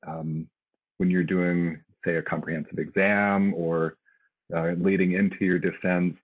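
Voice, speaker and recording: A man on a faculty panel, giving casual, hesitant advice with many fillers over a video call. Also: deep voice. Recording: tinny phone-like line.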